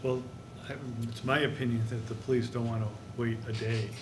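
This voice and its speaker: Flat-toned man in a noisy, echoey room. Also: mid-range voice, brisk. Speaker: school board meeting speaker. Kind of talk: measured meeting discussion. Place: indoor board meeting room.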